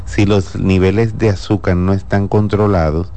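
Male gynecologist, radio guest; low-pitched, patient and explanatory, laughs easily. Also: level tone, moderate pace, mellow. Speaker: gynecologist answering listeners. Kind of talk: radio health talk show.

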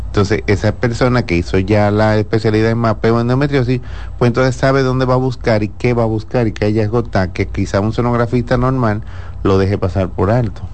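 Male gynecologist, radio guest; low-pitched, patient and explanatory, laughs easily. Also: steady tone, fairly quick, darkish tone, a little hiss or hum. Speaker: gynecologist answering listeners. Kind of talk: radio health talk show.

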